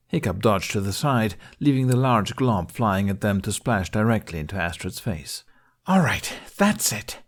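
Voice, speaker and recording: A man with a fairly low voice narrates a story. He reads in an expressive audiobook style, telling fan fiction as scripted prose.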